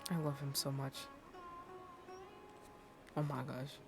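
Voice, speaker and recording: Woman streamer, low pitch, unhurried, casual game reactions, prominent background music. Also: level tone. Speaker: live streamer.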